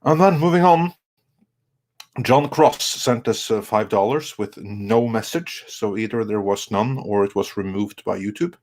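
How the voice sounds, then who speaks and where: A man hosting an online show in casual chat, speaking haltingly, repeating words as he goes and reading out messages. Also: on the low side, darkish tone.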